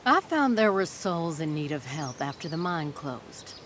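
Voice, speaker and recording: An actress playing a protective, kindly leader of a community of outcasts in a video game, measured, formal and gently firm. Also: female voice, low voice, very animated, a little hiss or hum. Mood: composed, kindly.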